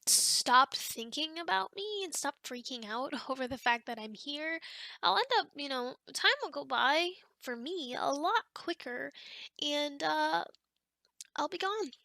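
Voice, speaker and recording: A woman's voice: sits high, lively intonation, a little slow, vocal fry, bright, forceful tone, no room sound, full character voice. A woman playing an awkward, chatty young sleep paralysis demon in an ASMR roleplay, rambling hesitantly and often dropping toward a whisper. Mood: hesitant, reassuring.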